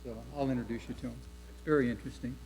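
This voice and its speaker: A man's voice: medium pitch, steady tone, rapid-fire, a little mellow, a little hiss or hum, low-quality recording. A man speaking at a school board meeting, chatty and rambling with many "you know"s. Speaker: board member.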